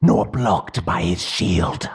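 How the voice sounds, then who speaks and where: A man's voice: sits low, slow, breathy edge, heavily acted. A man voicing an evil, boastful game demon with a throaty voice and grandiose menace. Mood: menacing. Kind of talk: video game hero voice line.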